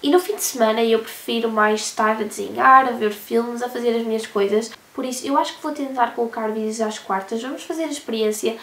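A hoarse teenage girl with a European Portuguese accent, chatting casually to the camera as a vlogger from her bedroom. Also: on the high side, brisk, few pauses, a bit bright, roomy sound. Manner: chatty, talks with her hands. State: hoarse from a cold. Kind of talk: casual vlog talk to camera. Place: bedroom, indoors.

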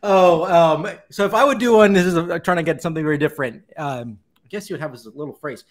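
A man's voice: on the high side, quick pace. Upbeat man hosting a video call. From Colombia. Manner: upbeat, animated. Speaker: podcast host. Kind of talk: casual chat on a video call. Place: home room indoors. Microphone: video call webcam.

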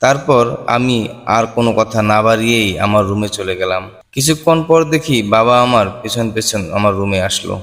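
A man's voice: lowish voice, a little fast, a bit bright. A man reading a scripted first-person cartoon story in an earnest, sorrowful manner. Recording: long hall reverb. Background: music.

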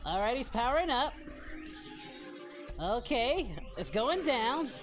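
A man playing an excitable, lecherous, cheerful anime warrior in a parody, hosting a casual reaction video in character. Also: very high-pitched, slow, over music, heavily acted. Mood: flustered, excited. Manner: excitable, bawdy, exclaiming.

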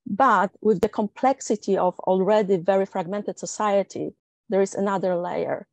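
A middle-aged woman from Poland with a Polish accent, speaking on a discussion panel in a measured, reflective way with many filler sounds. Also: mid-range voice, creaky, darkish tone, no echo.

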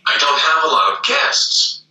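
Man acting defiant American, sharp echoey voice. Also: medium pitch, animated, measured pace. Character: defiant American man covering for his companion. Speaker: scene reader for an audition. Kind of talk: acted film audition dialogue. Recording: echoey room, far from microphone.

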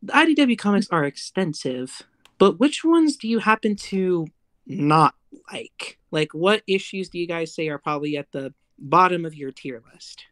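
A woman in a casual voice chat asks questions from the audience. She is chatty and effusive, with a slightly low voice and a dry, close sound. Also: animated, moderate pace, fairly bright tone, dry recording. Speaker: audience member asking a question.

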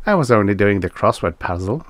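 Male reader as genial Venusian gent, breezy, matter-of-fact, lively intonation, storybook sci-fi. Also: lowish voice, brisk, dry recording. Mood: chatty, matter-of-fact. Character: affable male visitor from Venus, casual and chatty.

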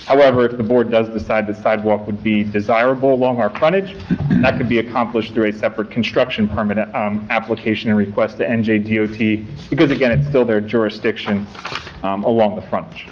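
A man testifying as a traffic engineer at a township board meeting, giving measured, technical answers from a podium. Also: fairly low pitch, steady tone. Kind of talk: formal testimony at a public meeting. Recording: muffled room recording.

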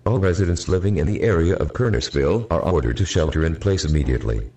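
A deep, robotic man delivers a formal emergency broadcast announcement. The tone is dark and monotone, and it sounds a bit distant, like a video being played back. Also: quick pace, a little hiss or hum.

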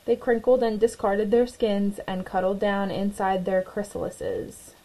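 A woman storyteller reading a children's picture book aloud in an expressive, clearly phrased way. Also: medium pitch, measured pace, poor audio. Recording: noticeable background noise.

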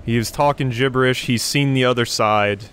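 A man's voice: medium pitch, steady tone, moderate pace, a bit bright, a little hiss or hum. A man giving casual let's play commentary, thinking aloud as he goes. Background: game ambience.

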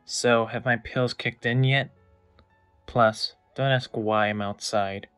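A man playing a touchy, sullen little fish demon scientist, curt and irritable, in an animated comic dub. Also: mid-range voice, takes it slowly, smooth, soft background music. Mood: impatient.

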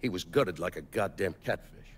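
An actor playing a blunt, weary middle-aged mob boss in a dramatic video game. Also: male voice, low-pitched, normal speed, slight background noise, close to an everyday voice. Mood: bitter, brooding.

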